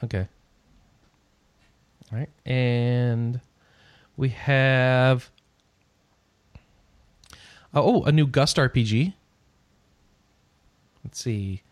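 A man's voice: medium pitch, extremely slow, pauses often, no echo. A man hosting a casual podcast, reading out gaming news with rambling, sarcastic asides that trail off into mumbles.

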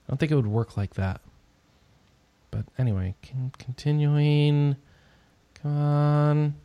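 A man's voice: on the high side, steady tone, slow pace, clear, resonant tone, no echo, lo-fi sound. A man hosting a casual podcast, reading out gaming news with rambling, sarcastic asides that trail off into mumbles.

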